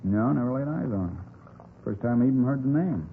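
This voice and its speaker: A man's voice: medium pitch, expressive, brisk, creaky, narrow, tinny audio. An American man playing a talkative, uneasy bartender telling his story in slangy 1950s radio drama style. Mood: earnest, worried. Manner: colloquial, storytelling. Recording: thin old radio recording, faint noise.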